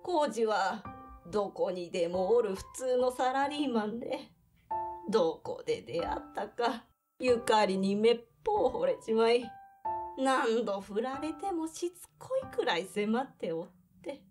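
A woman's voice: a little high, animated, darkish tone, soft background music. An actress playing a plain-spoken grandmother in her eighties in an audio drama, reminiscing. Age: 80s. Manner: slow, reminiscing, folksy. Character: elderly Japanese grandmother, plain-spoken, reflective, devoted to family.

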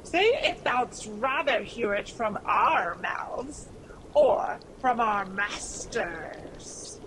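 A woman's voice: fairly high pitch, animated, very slow, a bit bright, a little hiss or hum, heavily acted, rough recording. A woman voicing an old witch who chants spells over a cauldron, in an amateur radio drama. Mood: ominous. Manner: chanting incantations.

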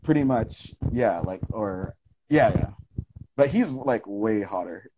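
A man's voice: on the low side, animated, slow, noisy background. A young man in casual conversation on a live video call, speaking thoughtfully and rambling with frequent fillers and restarts, recorded close to a phone indoors at home. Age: young adult. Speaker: artist in conversation. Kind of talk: casual live-stream chat. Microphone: phone close to face on a video call.

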